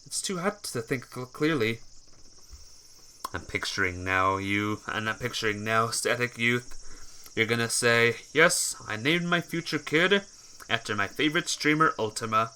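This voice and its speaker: A man live-streaming a visual novel, reading the text aloud and breaking off for casual, halting asides to his viewers. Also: medium pitch, sharp tone, clear, resonant tone. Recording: music behind the voice.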